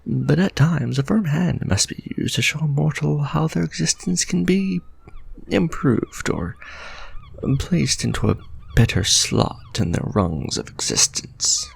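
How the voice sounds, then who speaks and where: A man's voice: a little high, sing-song, unhurried, exaggerated voice. A man voicing a refined, possessive male vampire lord in an ASMR romantic roleplay, formal and musing as he tenderly addresses the listener. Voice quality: gravelly, husky, soft-spoken.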